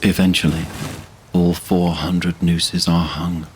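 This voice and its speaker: A man narrating a fantasy audio drama in a solemn, measured manner. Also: low-pitched, level tone, a little hiss or hum.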